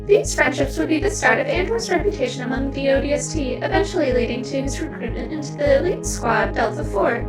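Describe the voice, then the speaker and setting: A woman's voice: sits high, expressive, fairly quick, hardly any pauses. A woman voicing a polite, poised female computer guide who gives scripted narration for an archive. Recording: echoing, distant voice over music.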